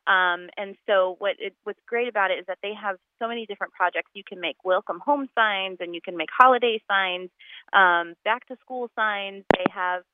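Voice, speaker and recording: Convidada nascida na Polônia, conversa casual por telefone, linha fina e abafada, cheia de "um" e recomeços. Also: female voice, mid-range voice, moderate pace. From Poland. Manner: chatty, with many ums and restarts. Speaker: guest fundraiser organizer. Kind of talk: casual radio interview by phone. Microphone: phone line. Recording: tinny phone line.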